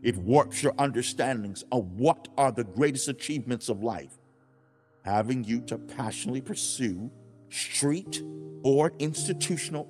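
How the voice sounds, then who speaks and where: Male narrator, medium pitch, playing God as a grieved, loving father; solemn, emphatic, sermonizing audiobook drama with music underneath. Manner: solemn, emphatic, preachy, formal wording. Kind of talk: dramatized scripted religious narration.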